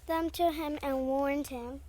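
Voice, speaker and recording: A woman's voice: high voice, steady tone, slow pace, dark tone. A woman reading a children's story from a script as narrator.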